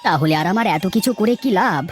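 A woman's voice: mid-range voice, lively intonation, fast talker, faint music behind, lightly acted. A woman voicing a kindly, principled male banana farmer in a village cartoon, speaking earnestly. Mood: consoling. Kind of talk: cartoon dialogue acting.

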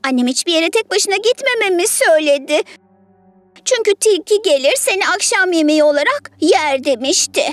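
A woman's voice: very high-pitched, animated, brisk, no room sound, voice pushed up. A woman voicing a naive, easily worried young female goat in a childlike, innocent way for a children's story.

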